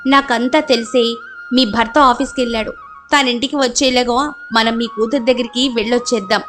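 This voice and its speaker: A woman voicing a helpful, conspiring neighbour who coaxes briskly in a story. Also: fairly high pitch, fairly even intonation, a bit bright, faint music behind, natural voice. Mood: coaxing.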